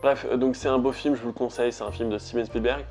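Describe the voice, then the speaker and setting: A man's voice: mid-range voice, fairly even intonation, fast, a little mellow, soft background music. Chatty young French man vlogging. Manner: chatty, rambling with frequent "euh". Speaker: YouTube host. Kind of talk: casual vlog talk to viewers.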